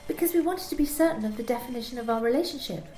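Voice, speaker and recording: A woman's voice: high-pitched, lively intonation, racing, mellow, reverberant, soft background music, poor audio. A woman playing a young office worker in an amateur radio play, giving short, reluctant, guarded answers.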